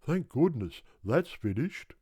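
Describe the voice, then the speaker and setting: A high-pitched old kangaroo from a storybook, acted out by a man. He is tired and full of grievance, yet keeps up a formal politeness. The sound is close and dry. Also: big pitch swings, slow pace, warm tone, slightly rough, no room sound, exaggerated voice. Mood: relieved, weary. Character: tired, aggrieved old male kangaroo, apologetic. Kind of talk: storybook character voice.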